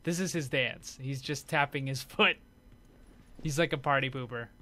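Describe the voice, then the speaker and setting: A man's voice: mid-range voice, moderate pace, bright, forceful tone. A man giving casual, off-the-cuff commentary as host of a gaming showcase video.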